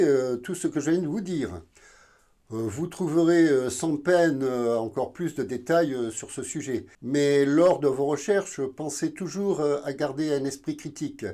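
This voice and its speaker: Male video host from southern France: fairly high but soft-toned voice, halting explanatory delivery. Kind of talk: informal educational narration.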